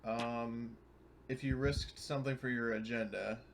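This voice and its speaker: A man's voice: mid-range voice, fairly even intonation, measured pace, vocal fry. Casual man with noisy background. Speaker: tabletop role-playing player tallying experience points. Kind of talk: casual game table chat.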